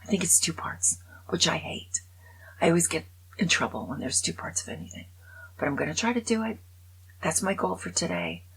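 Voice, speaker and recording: A woman's voice: lowish voice, sing-song, a little fast, a bit bright, slightly rough, in a whisper, low-quality recording. A woman in her seventies chatting casually to camera, rambling and poking fun at herself. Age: 70s. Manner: chatty, rambling, self-mocking humor. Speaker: vlogger.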